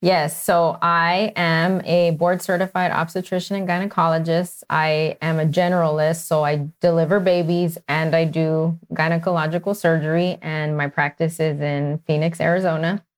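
A woman from Texas, a physician guest, answering interview questions in a measured, earnest way with small restarts. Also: deep voice, level tone.

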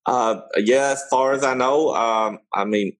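A man's voice: mid-range voice, measured pace. A middle-aged man from Germany, a small business owner answering interview questions hesitantly. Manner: hesitant, many fillers and restarts.

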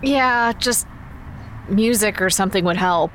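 A woman's voice: medium pitch, unhurried, a bit bright, slight background noise, close to an everyday voice. A woman playing a restless, playful passenger on a road trip in an audio drama. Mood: restless, casual. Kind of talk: audio fiction acting, sometimes singing along.

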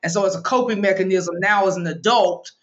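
A woman's voice: mid-range voice, lo-fi sound. A woman in her thirties, a financial planner, talking frankly and emphatically in an interview. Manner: brisk, emphatic, frank advice-giving. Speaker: financial planner and money coach. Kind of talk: candid interview talk.

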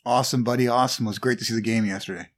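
Easygoing podcast co-host. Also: male voice, medium pitch, fairly even intonation, very fast. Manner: easygoing, conversational. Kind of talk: casual sports podcast chat.

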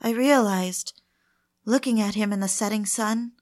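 A woman's voice: mid-range voice, unhurried, darkish tone, close to an everyday voice. An actress playing a romantic, yearning woman in love, intimate and reflective, in a confessional audio drama. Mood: wistful, reflective. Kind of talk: audio drama, confessional first-person narration.

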